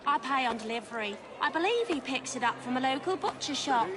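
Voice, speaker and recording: A woman's voice: high voice, animated, a little fast, crowd noise. A woman playing a plain-spoken, chatty Victorian pie seller in a video game. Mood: chatty, matter-of-fact. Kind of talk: video game cutscene acting.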